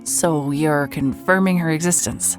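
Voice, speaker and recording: An actress playing a hard-boiled, grudging private investigator in a mystery audio drama, exasperated and sarcastic. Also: female voice, low voice, unhurried, over music. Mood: sardonic, grudging.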